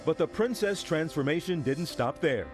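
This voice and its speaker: Male news-feature narrator, animated and slightly high-pitched, polished script read over soft music. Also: moderate pace.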